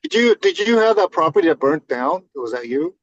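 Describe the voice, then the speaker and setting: A man on a video call asking quick checking questions, often restarting his words. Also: a little high, expressive, dry recording, muffled recording. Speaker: member of an accountability group. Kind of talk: casual video call chat.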